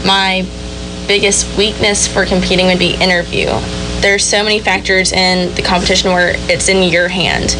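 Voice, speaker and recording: A young woman with a mid-range voice speaks casually as a radio interview guest. Music is clearly audible behind her. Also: medium pitch, rough recording. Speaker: rodeo queen titleholder being interviewed.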